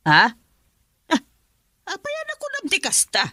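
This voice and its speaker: A woman's voice: extremely high pitch, wildly swooping pitch, moderate pace, sharp tone, dry recording, studio quality, full character voice. A woman with an Ilocano accent playing a worried middle-aged mother in a radio drama, pleading and anxious. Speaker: mother character.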